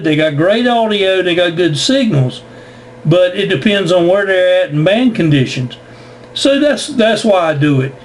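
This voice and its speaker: High-pitched man chatting over ham radio. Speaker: amateur radio operator recording contacts for YouTube. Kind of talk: casual ham radio conversation. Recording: noisy amateur radio audio.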